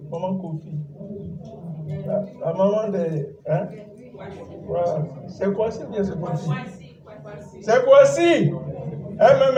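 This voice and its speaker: Pastor guy preaching slow, high voice, roomy church sound from far off. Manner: exhorting, repeats phrases, calls and responses with congregation. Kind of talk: sermon. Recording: echoey church hall, distant mic, dull sound.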